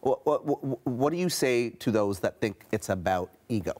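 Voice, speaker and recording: A man's voice: mid-range voice, animated, moderate pace, darkish tone. A Canadian man anchoring a TV news interview, asking questions conversationally with some stammered restarts.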